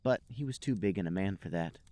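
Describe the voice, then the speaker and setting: A man's voice: low voice, fast, darkish tone. A man hosting a comedy podcast, reading fan fiction aloud with mock-dramatic storytelling and casual chat.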